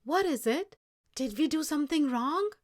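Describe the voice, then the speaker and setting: A woman's voice: sits high, lively intonation, moderate pace, pitched above the performer's own voice. A woman voicing an eager young boy in a storybook, an earnest grandson who worries easily and tends to plead. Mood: worried, pleading. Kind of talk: storybook character dialogue.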